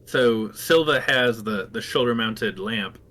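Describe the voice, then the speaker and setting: A man's voice: on the low side, slow. A man playing a tabletop game, casually talking through his character's actions. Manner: plans actions out loud, casual. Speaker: player. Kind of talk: tabletop roleplay chat.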